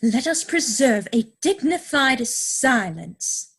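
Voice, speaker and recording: A woman's voice: sits high, sing-song, takes it slowly, slightly rough, heavily acted. A woman playing a self-assured young society lady in a period stage comedy, speaking with affected, grand earnestness. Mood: haughty.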